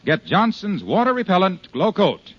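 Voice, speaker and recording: A man's voice: high voice, wildly swooping pitch, normal speed, breathy edge, no room sound. A man announcing in a polished, emphatic 1950s radio commercial style. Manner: polished, emphatic sales delivery. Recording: muffled old radio recording.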